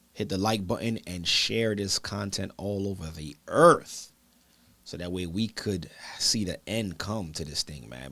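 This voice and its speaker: Man, deep low voice, casual church broadcast host, chatty. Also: fairly bright tone, dry recording. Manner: loose and chatty, calls listeners brothers and sisters. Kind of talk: casual livestream talk.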